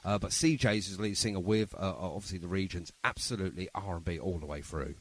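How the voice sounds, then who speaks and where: A man's voice: low voice, fast talker, husky. A man hosting a podcast in casual interview chat, with a rambling, hesitant way of phrasing his questions. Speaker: podcast host.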